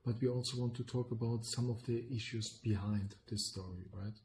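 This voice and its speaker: A middle-aged man with a German accent, co-hosting a podcast and explaining business topics in a measured way, often checking in with "right?". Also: medium pitch, steady tone, a little mellow, slight background noise. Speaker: podcast co-host, business consultant.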